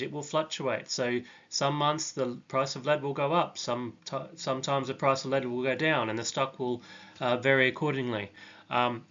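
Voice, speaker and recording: A man talks through his stock research in a relaxed, unscripted way, often pausing on ums and restarting his sentences. His voice sits at an ordinary middle pitch, and the recording sounds a little dull and lo-fi. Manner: hesitant, many ums and restarts. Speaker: stock channel host. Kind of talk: casual stock analysis monologue.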